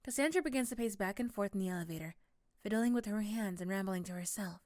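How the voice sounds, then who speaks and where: Female narrator for ASMR roleplay. Scripted second-person storytelling, medium pitch, very dry sound. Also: fast, darkish tone, no echo.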